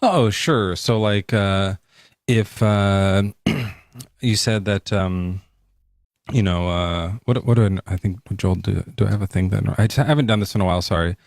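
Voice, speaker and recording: A man's voice: on the low side, no room sound, studio quality. A man hosting a casual late-night debate stream, joking and often restarting his sentences. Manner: joking, halting, many restarts. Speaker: debate show host.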